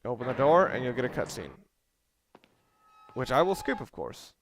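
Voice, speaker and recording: A man's voice: medium pitch, big pitch swings, moderate pace. A man giving casual, rambling walkthrough commentary on a video game, correcting himself and explaining tactics as he plays. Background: video game music and sound.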